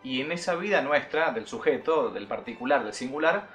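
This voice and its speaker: A man's voice: mid-range voice, lively intonation, moderate pace, a bit bright, soft background music. A man, a priest interviewing a guest, posing thoughtful questions. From Argentina. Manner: thoughtful, explanatory, uses voseo. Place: bright living room with curtains.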